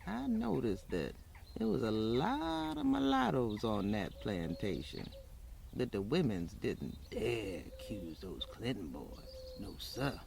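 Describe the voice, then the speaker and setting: An actor playing an elderly woman from Alabama with a Southern US accent, telling her life story in a folksy, halting, plainspoken way as in an old-time radio drama. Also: extremely low pitch, huge pitch swings, gravelly, a little mellow, slight background noise, exaggerated voice. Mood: reflective. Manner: halting, folksy, colloquial, musing.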